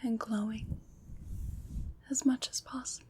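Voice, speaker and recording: A woman whispering gently and reassuringly with deliberate pauses, in an ASMR roleplay as a kind, soothing witch. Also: a little high, fairly even intonation. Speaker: ASMR performer.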